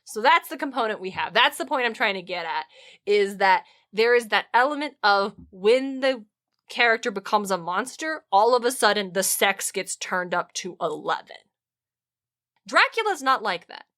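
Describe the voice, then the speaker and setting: A woman co-hosting a podcast in a casual comedic discussion, animated and opinionated. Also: mid-range voice, normal speed. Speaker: podcast co-host.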